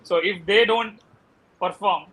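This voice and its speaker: Casting: South Indian male cricket panelist, Kannada native, high voice, bright tone, casual analysis peppered with fillers. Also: steady tone, a little slow, rough recording. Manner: repeats "you know" as filler.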